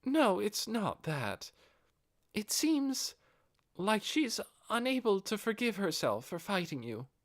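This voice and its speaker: A man's voice: high-pitched, very animated, slow, voice pushed up, exaggerated voice. A man voicing a devoted, earnest female guardian captain in an audiobook, with polite, deferential phrasing. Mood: apologetic, worried. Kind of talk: audiobook character acting.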